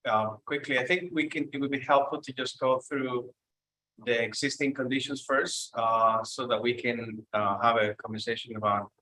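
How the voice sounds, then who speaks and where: A man's voice: medium pitch, steady tone, moderate pace, pushed and bright, rough recording. A man from Cuba with a Cuban Spanish accent presenting an architectural preservation report over a video call at a public meeting. He is hesitant and often restarts his words.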